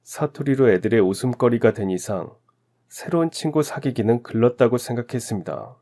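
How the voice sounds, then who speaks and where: A man from Daegu narrating his own life story in a calm, reflective, confessional first-person style. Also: medium pitch, fairly quick. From Daegu, South Korea.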